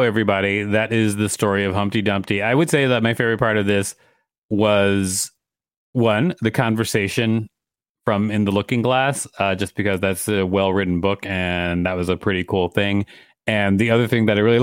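A man's voice: lowish voice, clean tone, dry recording, studio quality. A man co-hosting a podcast, reading an encyclopedia article aloud and adding casual commentary. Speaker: podcast co-host.

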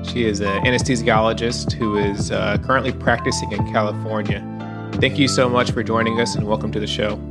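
A man's voice: on the low side, level tone, a little fast, over loud music. A man hosting a podcast interview, welcoming a guest in a friendly way with a few restarts. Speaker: podcast host.

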